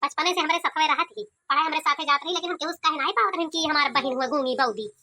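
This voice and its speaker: A woman's voice: high-pitched, fast, pushed and bright. A woman voicing a resentful, complaining young college girl in an Awadhi cartoon comedy, with an Awadhi accent. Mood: bitter complaining. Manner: complaining, scolding. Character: resentful, sharp-tongued young college-going younger daughter.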